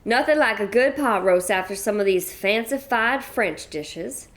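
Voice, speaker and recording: An actress playing a brash, folksy American widow from the West with a Western American accent, talking loud and blunt. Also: female voice, medium pitch, lively intonation, measured pace, close to an everyday voice. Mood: hearty. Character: brash American widow, bossy ranch-style lady. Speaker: Mrs. Gibson. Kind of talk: old-time radio drama acting.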